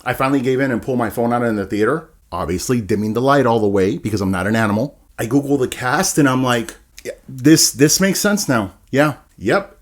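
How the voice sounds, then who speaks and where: Chatty, sarcastic male movie reviewer. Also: a little high. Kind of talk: casual ranting film review.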